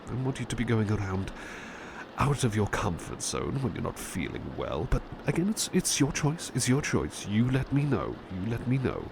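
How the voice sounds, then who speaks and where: A devoted, fussing male butler, acted by a man, speaks in a low, slow voice that swoops expressively. He is polite and hesitant, gently repeating his reassurances in a soothing ASMR roleplay, with clear background noise behind him. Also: big pitch swings. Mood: fussing, concerned.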